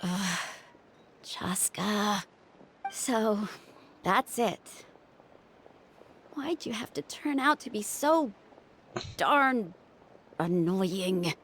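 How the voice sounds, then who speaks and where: A woman's voice: medium pitch, expressive, drawn-out words, long pauses, fairly bright tone, slight background noise. A woman voicing an anxious, scolding younger sister and young doctor in a video game, exasperated and emphatic. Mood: hurt, resigned.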